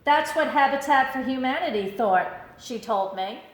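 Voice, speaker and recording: A woman reading a children's picture book aloud in an expressive storytelling style. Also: high-pitched, moderate pace, reverberant. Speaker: storybook reader.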